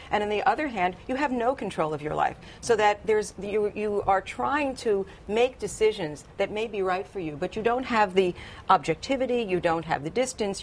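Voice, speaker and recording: A woman's voice: medium pitch, a little hiss or hum. A woman speaking as a psychologist guest in a news interview, explaining things and repeating phrases for emphasis. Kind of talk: expert commentary in a news interview.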